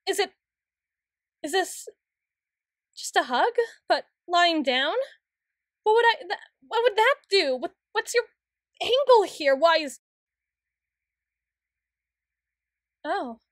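Woman acting a high-voiced, flustered tsundere young woman. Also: lively intonation, dry recording, clean studio sound, full character voice. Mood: nervous, flustered. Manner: halting, stammering, formal wordy phrasing. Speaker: audio roleplay voice actor. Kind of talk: ASMR roleplay, close and intimate acting.

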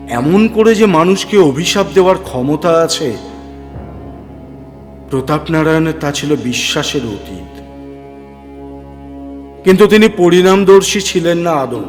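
A man narrating a horror story with theatrical, deliberate phrasing and dramatic pauses. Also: a little high. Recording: long hall reverb, music underneath.

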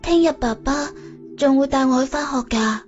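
A man's voice: extremely high pitch, moderate pace, dull audio, soft background music, pitched above the performer's own voice. A man voicing a sweet little kindergarten girl, babyish and coy, in an audiobook. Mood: coy, pleading. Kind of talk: audiobook character dialogue.

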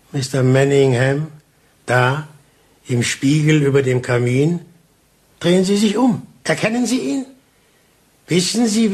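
An actor playing a shrewd police inspector in a radio play, speaking deliberately and theatrically with taunting insinuation. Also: male voice, a little high, a little mellow. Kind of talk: German radio play acting.

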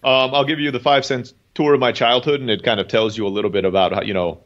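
A man's voice: medium pitch, steady tone, fast, fairly bright tone. A man in his thirties who grew up in Turkey, a guest telling his story in a chatty way, with lots of "um" and "you know". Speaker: guest entrepreneur. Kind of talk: casual interview talk. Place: home in Mexico. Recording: dull lo-fi call audio.

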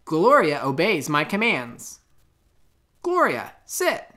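A man's voice: fairly high pitch, wildly swooping pitch, slow pace, pushed and bright, pitched above the performer's own voice, exaggerated voice. A man playing an earnest storybook police officer, announcing things in an emphatic, instructive way. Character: earnest male police officer giving safety tips.

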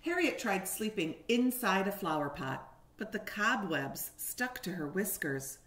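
Animated woman reading to children. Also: mid-range voice, measured pace. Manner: animated, explaining and questioning for young children. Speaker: teacher reading aloud to children. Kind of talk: expressive picture-book read-aloud. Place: living room, indoors. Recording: echoey room.

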